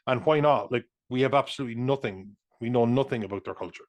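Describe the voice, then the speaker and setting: A man, co-hosting a casual film chat, rambling with restarts and sudden animated asides. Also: mid-range voice, quick pace. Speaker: podcast co-host.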